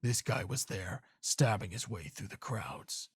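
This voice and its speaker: An actor playing a bitter, grieving, foul-mouthed middle-aged police inspector in a video game, with a gravelly, breathy, world-weary delivery. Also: male voice, lowish voice, lively intonation, unhurried, no room sound, clean studio sound, full character voice. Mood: weary, curt. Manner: world-weary, cynical, swearing. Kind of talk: noir crime narration in interrogation dialogue.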